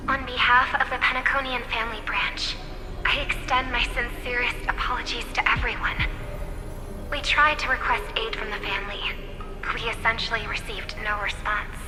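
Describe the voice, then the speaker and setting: A woman's voice: on the high side, close to an everyday voice. A woman voicing a gracious, earnest young envoy in a video game, speaking politely and formally. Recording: long reverb, loud music behind.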